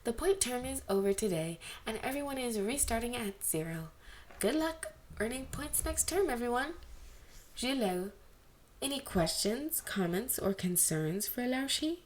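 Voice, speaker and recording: A woman voicing a brisk young woman who chairs a fan club meeting, speaking formally as if announcing to a group in a story. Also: on the high side, animated, slight background noise, voice pushed up. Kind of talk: storybook character voice.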